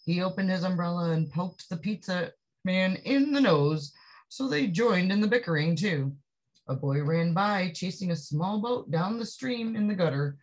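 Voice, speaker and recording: A woman reading a picture book aloud to young children, expressively, with drawn-out phrases and chatty asides that put questions to the listener. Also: lowish voice, animated, low-quality recording. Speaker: storybook reader.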